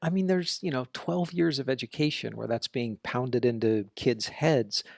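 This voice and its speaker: A man's voice: mid-range voice, moderate pace, gravelly, darkish tone, no echo, clean studio sound. A man hosting a podcast, interviewing a guest and then commenting on his own in a conversational, thinking-aloud way. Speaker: podcast host.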